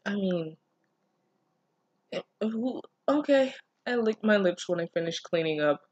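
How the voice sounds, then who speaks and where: Female reaction reader, slightly low register, dry sound, incredulous and profane, breaking into the text with casual commentary. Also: no echo. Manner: sarcastic, incredulous, profane, interjecting. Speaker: host reading fan fiction with commentary. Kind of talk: casual reaction read-aloud.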